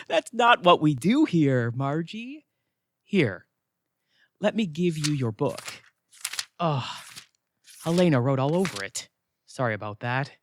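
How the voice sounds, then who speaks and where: An actor playing a breezy, wisecracking attendant who checks souls into the afterlife in an audio theatre comedy, chatty and flippant. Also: male voice, fairly high pitch, big pitch swings, slow pace, long pauses, slight background noise. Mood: joking.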